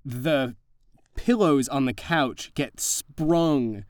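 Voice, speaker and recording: A man's voice: medium pitch, very slow, dry recording, clean studio sound. A man narrating a tabletop horror-comedy as game master, descriptive and conversational. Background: faint music.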